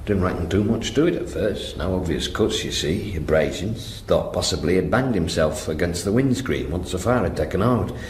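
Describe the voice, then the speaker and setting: A man's voice: deep voice, expressive, moderate pace, few pauses. An actor playing a blunt, matter-of-fact policeman in a radio drama, speaking in clipped, informal phrases. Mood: grimly matter-of-fact. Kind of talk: modern radio drama acting. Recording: roomy echo, faint background noise.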